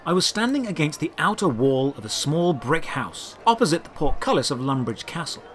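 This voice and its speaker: Male storyteller, fairly high voice, lively intonation, scripted fantasy adventure narration, birdsong and ambience behind. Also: brisk, a little hiss or hum. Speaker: narrator and creator of an audio drama.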